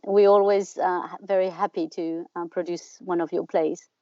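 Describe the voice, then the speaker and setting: A woman hosting a podcast interview in a measured, polite style. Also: fairly low pitch, fairly even intonation, darkish tone, no echo, dull audio.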